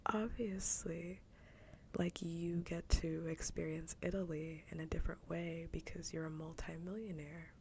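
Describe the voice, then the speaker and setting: Woman doing casual asmr stream chat, soft breathy whisper, low n creaky, faint rumble behind her. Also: flat delivery, moderate pace, hardly any pauses. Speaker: streamer hosting a live ASMR show. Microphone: close ASMR microphone.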